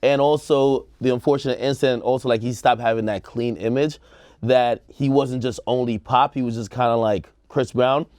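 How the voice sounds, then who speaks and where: A man's voice: mid-range voice, steady tone, normal speed, darkish tone, no room sound. American man, slangy, in a dry studio. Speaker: talk show co-host. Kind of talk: casual opinionated panel chat.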